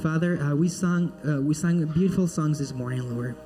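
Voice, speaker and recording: A male missionary speaks to a church congregation, friendly and earnest. His voice is mellow and sits a little high, with faint music underneath. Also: moderate pace. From Peru. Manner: friendly and joking, then earnest in prayer. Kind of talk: church talk and prayer. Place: church sanctuary.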